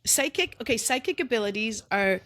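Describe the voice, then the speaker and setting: A woman's voice: on the high side, animated, a little fast, a bit bright. A middle-aged woman co-hosting a podcast in casual chat, a little sniffly, explaining things in long chatty lists with asides. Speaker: podcast co-host.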